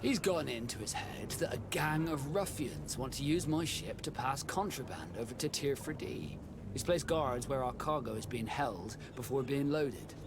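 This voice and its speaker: A man's voice: medium pitch, lively intonation, normal speed, background noise, lightly acted. An actor playing a proud, guarded male sea captain in a video game, speaking formally with dry sarcasm. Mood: firm, dismissive. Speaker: ship captain. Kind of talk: video game cutscene acting. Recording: music playing behind the voice.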